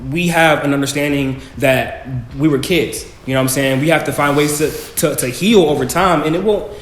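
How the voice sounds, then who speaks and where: A man's voice: fairly high pitch, moderate pace. A young man on a student panel, talking earnestly and casually, often checking in with "you know what I'm saying". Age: young adult. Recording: echoey room, faint background noise.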